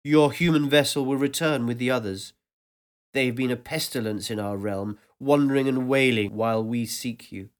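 A man voicing a vast, ancient cosmic presence that speaks calmly and solemnly. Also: medium pitch, moderate pace, lightly acted.

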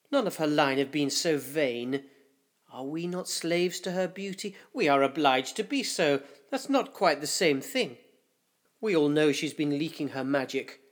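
A man voicing worried old councillors of a dying hive, debating in a fretful, hushed way. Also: fairly high pitch, natural voice. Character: anxious, whispering councillors of a fading hive.

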